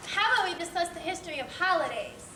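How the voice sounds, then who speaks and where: A woman's voice: very high voice, lively intonation, quick pace, bright, forceful tone, far from the mic, exaggerated voice. A woman playing an earnest, eager young girl crab in a children's stage play. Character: earnest young female crab, dreamy holiday lover. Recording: very echoey, noisy hall from afar.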